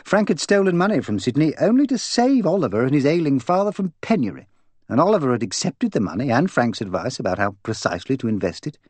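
An imperious, opinionated English woman holds forth in an audiobook, acted out by a male narrator. The pitch is lifted and swings widely as this voluble gossip emphasises points. The sound is dry. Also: sing-song, quick pace, a little mellow, no room sound. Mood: gossipy, confiding. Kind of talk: audiobook character dialogue.

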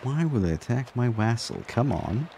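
A man from Bosnia streaming a strategy game, chatting with viewers in a relaxed, rambling way and swearing casually. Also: medium pitch, normal speed, gravelly, background noise. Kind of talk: casual gameplay commentary with chat. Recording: voice over loud game music.

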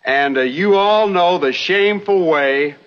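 Folksy, higher-voiced young western con man. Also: measured pace. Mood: sheepish, stalling. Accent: American. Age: young adult. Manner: hesitant, folksy, stalling. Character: smooth-talking young Old West con man, sheepishly genial. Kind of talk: 1960s television western acting. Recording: dull old TV film soundtrack, faint noise.

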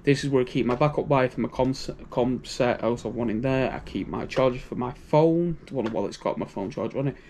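A man's voice: medium pitch, fast talker, few pauses, darkish tone, slight background noise. A man with a British accent giving a casual, rambling walkthrough of his gear, often adding "you know". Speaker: prepping channel host.

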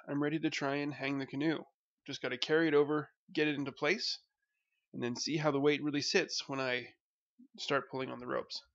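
A man's voice: medium pitch, gravelly, a bit bright, dry recording, dull audio. A young man narrating his home project step by step, plain and explanatory, with some fillers. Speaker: DIY video host.

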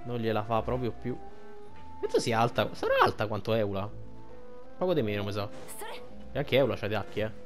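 A man's voice: lowish voice, wildly swooping pitch, fairly quick, long pauses, faint music behind. A man giving colloquial, rambling, casual gameplay commentary. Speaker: gaming commentator.

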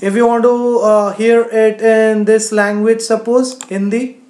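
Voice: mid-range voice, little pitch movement, unhurried, a little mellow. Coding teacher in an echoey room. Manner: frequent "you know", explanatory. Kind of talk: screen-share tutorial narration.

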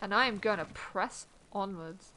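A woman's voice: medium pitch, normal speed, a crowd in the background, rough recording. A woman chatting casually with friends while gaming, with playful, sweary banter. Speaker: gamer playing with friends. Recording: loud game music over voice. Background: loud video game music and effects.

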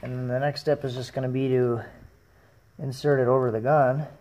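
A young man talking through a welding project in his home garage, relaxed and thinking aloud as he works, recorded on a camera mic that drifts near and far. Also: medium pitch, moderate pace. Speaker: DIY welding vlogger. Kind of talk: casual how-to narration. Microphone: camera mic, moving between close and far.

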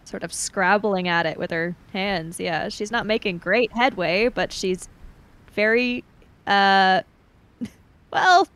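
A woman improvising narration in a roleplay game, with halting, deliberate phrasing. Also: mid-range voice, a bit bright. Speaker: tabletop roleplay player.

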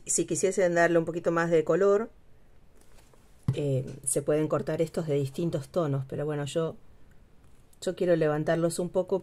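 Low-voiced woman. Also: warm tone. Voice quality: low, unhurried voice with many pauses and fillers. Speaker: craft channel host. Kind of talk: casual craft tutorial, thinking aloud. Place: home craft table. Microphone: overhead camera, speaker off screen.

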